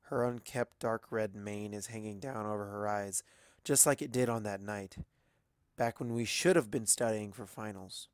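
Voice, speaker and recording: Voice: mid-range voice, steady tone, normal speed, clean tone, dry recording, clean studio sound. An amateur narrator reading a story expressively from a script.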